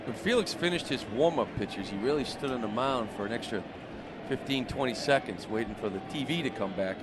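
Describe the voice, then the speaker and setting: A man's voice: mid-range voice, animated, a little fast. A man giving conversational color commentary and analysis during a live baseball radio broadcast. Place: outdoor ballpark broadcast booth. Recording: loud stadium noise behind the voice.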